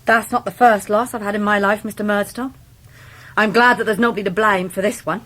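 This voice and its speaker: Actress as defiant English servant widow, Victorian radio drama: medium pitch, blunt, rustic. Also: female voice, steady tone, fairly quick, a bit bright, lightly acted. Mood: bitter defiance. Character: plain-spoken, loyal, defiant middle-aged widowed servant woman.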